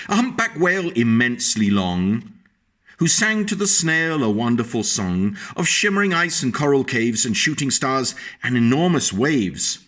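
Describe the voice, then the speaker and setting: A man reading a picture book aloud to children, slowly and gently, stopping often to point out the pictures. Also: mid-range voice.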